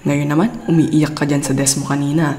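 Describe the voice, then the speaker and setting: A woman's voice: low voice, level tone, brisk, dark tone. A woman with a Filipino accent performing an intimate ASMR roleplay as an exasperated but doting girlfriend, scolding playfully and coaxing tenderly. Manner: playful scolding mixed with tender coaxing, code-switching into English. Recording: echoey room, long reverb, faint background noise.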